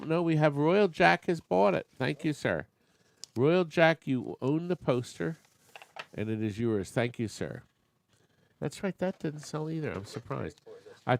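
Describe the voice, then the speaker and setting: A man's voice: lowish voice, darkish tone, a little hiss or hum. A man hosting a live online auction, chatting with bidders and making joking asides while losing his voice. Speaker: auctioneer and show host.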